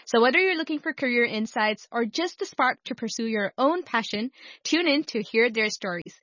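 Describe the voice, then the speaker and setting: A woman's voice: on the high side, lively intonation, moderate pace, a bit bright, no room sound, dull audio. A woman from Pakistan hosting a radio talk show, introducing guests in an upbeat, welcoming way. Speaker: radio host.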